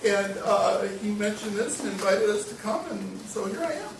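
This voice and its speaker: A man giving an informal self-introduction as a visitor, speaking in a hesitant, halting way. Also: high voice. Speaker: club meeting visitor introducing himself. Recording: echoey room, distant mic, clear noise.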